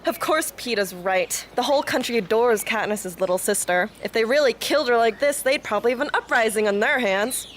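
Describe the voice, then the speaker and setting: A woman's voice: a little high, brisk, few pauses, a little hiss or hum, close to an everyday voice. A woman playing an abrasive, defiant young survivor in an amateur radio drama, blunt and scornful. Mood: bitter sarcasm.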